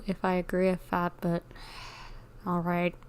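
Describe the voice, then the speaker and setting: A woman's voice: fairly low pitch, monotone, unhurried, darkish tone, clean tone, rough recording. A woman livestreaming a game, giving casual commentary and thinking aloud informally as she plays.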